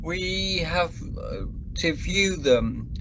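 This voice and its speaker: A man playing an earnest, curious explorer-scientist in an amateur sci-fi radio play, measured and portentous in delivery. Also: a little high, animated. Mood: intrigued, resolute. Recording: muffled recording with faint noise.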